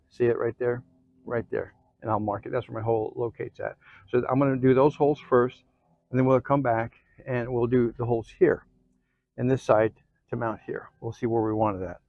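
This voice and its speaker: Male DIY channel host: mid-pitched, folksy and informal, walking viewers through hands-on work, dry close sound. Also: no room sound, narrow, tinny audio. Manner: folksy, informal, frequent check-ins like okay and cool. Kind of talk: casual hands-on how-to narration.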